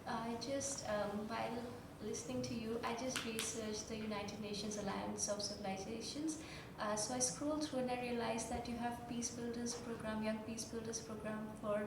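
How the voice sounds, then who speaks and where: A woman's voice: a little high, steady tone, moderate pace. Student girl in her 20s asking a question, polite, noisy room. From India. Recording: echoey hall, distant mic, background noise.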